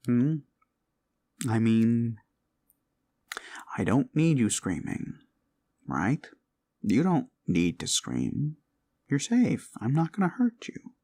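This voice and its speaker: A man playing an obsessive, controlling boyfriend in an ASMR roleplay, coaxing and condescending, often slipping into breathy whispers. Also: mid-range voice, a little mellow, heavily acted. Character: obsessive, controlling yandere boyfriend, menacingly sweet.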